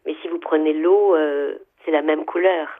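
A woman's voice: deep voice, steady tone, moderate pace. A woman in her seventies from Algeria, a writer answering interview questions. She speaks in a thoughtful, hesitant way, with many fillers, and lists her ideas one after another. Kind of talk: radio interview, reflective answers. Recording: phone-line sound, narrow band.

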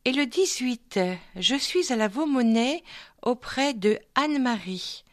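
A woman radio host presents birthday dedications in an unhurried, measured way, announcing dates and song titles. Her voice sits fairly high for a woman and sounds dry and close, with no room around it. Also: animated, no room sound.

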